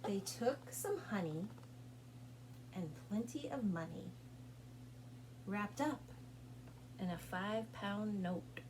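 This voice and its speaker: A woman's voice: mid-range voice, slow pace, long pauses, background noise. A woman leading storytime as a children's librarian, reading and singing along in a sing-song, coaxing way as if to small children, with questions for them.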